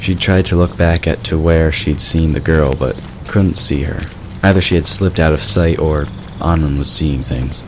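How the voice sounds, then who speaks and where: A man narrates a fantasy audio drama in an extremely low voice. The delivery is scripted storytelling, with clear noise in the background. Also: normal speed, a little mellow.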